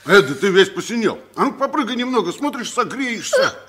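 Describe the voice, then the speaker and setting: An actor playing a good-natured, plain-spoken wandering tramp in a 1960s radio play, folksy and expressive. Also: male voice, high-pitched, fairly quick, full character voice. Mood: hearty, kindly.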